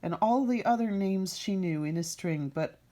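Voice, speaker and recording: A middle-aged woman reading a fairy tale aloud as a storyteller, deliberate and dramatic. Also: mid-range voice, dark tone.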